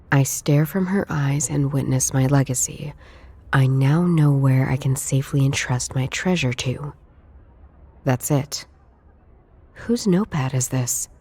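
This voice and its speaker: A low-pitched female ex-detective in a noir adventure game. She is dry and guarded, with a deadpan, sardonic edge and an understated delivery. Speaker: video game protagonist. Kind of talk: scripted visual novel voice acting.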